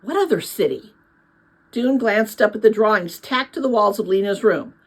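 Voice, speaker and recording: A woman's voice: mid-range voice, moderate pace, rough recording. A woman narrating a children's novel as a read-aloud. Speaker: audiobook reader.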